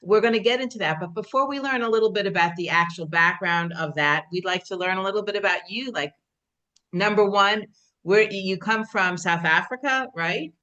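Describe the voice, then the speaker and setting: A woman interviewing a guest on a video call, chatty and quick to laugh. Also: medium pitch, muffled recording. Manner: warm, laughs often, chatty. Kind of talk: conversational interview.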